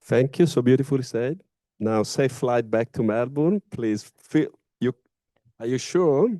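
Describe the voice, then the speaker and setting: A man's voice: mid-range voice, unhurried, dark tone, no room sound. Teasing male panel moderator.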